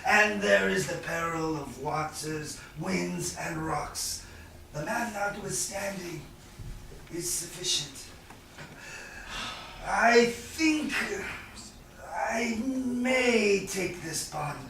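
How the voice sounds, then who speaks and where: Actor as sardonic stage moneylender, slow, toying, chuckling delivery, gravelly edge, very echoey room. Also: male voice, sits high, pushed and bright, heavily acted. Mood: wry deliberation. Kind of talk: staged reading of Shakespearean drama. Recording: distant echoey room with faint noise.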